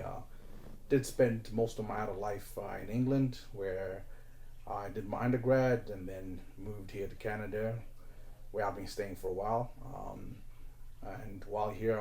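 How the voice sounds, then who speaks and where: A man's voice: fairly low pitch, dark tone. Man, from Zimbabwe, therapist, hesitant, fillers, faint background noise. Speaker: mental health therapist. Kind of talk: interview introduction.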